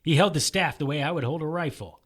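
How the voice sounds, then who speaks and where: A man's voice: a little high, fast talker, fairly bright tone. A man playing a weary, wry detective who narrates in the first person in an audio drama, terse and dry. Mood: tense, wry. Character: weary, wry male detective narrating his own fight.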